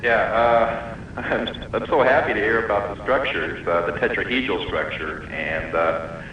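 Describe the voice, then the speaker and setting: A man calling in to a radio show, chatting casually and haltingly. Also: fairly low pitch. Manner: hesitant, frequent halting pauses. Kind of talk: casual, unscripted call-in talk. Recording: bright but dull-band, echoey, distant radio call.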